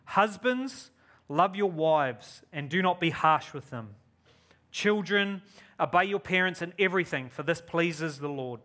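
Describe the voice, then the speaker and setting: A keen, upbeat man with a New Zealand accent preaches as a guest speaker at a church service. His voice sits a little higher than most men's, and he talks in an effusive, enthusiastic way. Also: expressive, a little slow, bright, forceful tone. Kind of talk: live church sermon and prayer.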